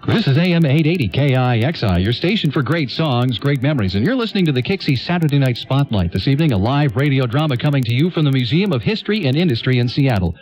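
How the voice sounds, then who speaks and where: A man's voice: mid-range voice, sing-song, fast, hardly any pauses, a little mellow, soft background music. A man delivering a scripted station announcement as a radio announcer.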